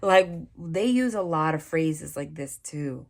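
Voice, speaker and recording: A woman with a New York accent reads tarot cards at a table and talks in a loose, rambling way, restarting often. Her voice sits low, with a creaky, gravelly texture. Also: lively intonation, takes it slowly. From New York / New Jersey area. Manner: chatty, rambling, many restarts and asides. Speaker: tarot reader. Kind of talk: casual tarot reading chat. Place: indoors at a table, hands over cards.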